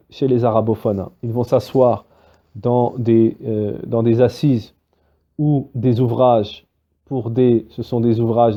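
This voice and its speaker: A man teaching a spoken religious lesson, lively and full of fillers, acting out little dialogues between students. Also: mid-range voice. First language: Arabic-Islamic terms mixed into French. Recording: dull lo-fi recording.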